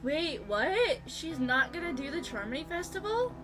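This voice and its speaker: A woman giving casual, excitable, sweary live commentary as she streams a game. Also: high-pitched, normal speed, poor audio. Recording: game music behind.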